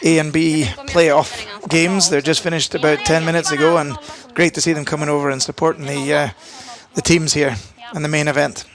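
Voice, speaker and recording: A man giving live commentary on a curling match, talking in halting bursts full of fillers and restarts. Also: on the high side, flat delivery. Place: curling arena. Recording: arena crowd noise behind.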